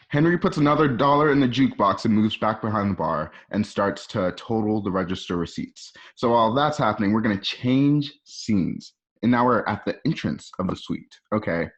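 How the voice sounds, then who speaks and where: A man narrating an amateur radio play, reading out screenplay stage directions. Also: on the low side. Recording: dull lo-fi home recording.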